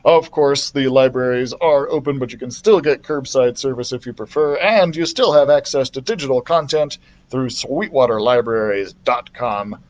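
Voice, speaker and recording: A man reading a children's adventure novel aloud as a story-time host, with an animated, theatrical delivery. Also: mid-range voice, moderate pace, muffled recording, slight background noise.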